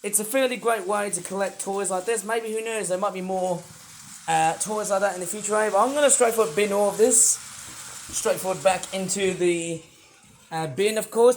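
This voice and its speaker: A man with a high voice rambles through a toy review, chatty and playful. His voice sounds a little under the weather, and he breaks into sudden excited outbursts. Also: normal speed, a little hiss or hum. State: voice not fully well. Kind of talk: casual toy review vlog.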